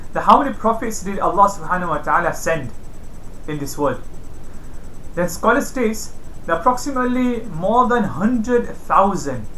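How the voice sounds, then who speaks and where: Male religious storyteller for an informal lesson. Mid-pitched, explanatory, echoey room with faint noise. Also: pushed and bright. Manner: explanatory, repeats phrases for emphasis. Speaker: storyteller and religious teacher hosting a series. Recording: roomy echo, faint background noise.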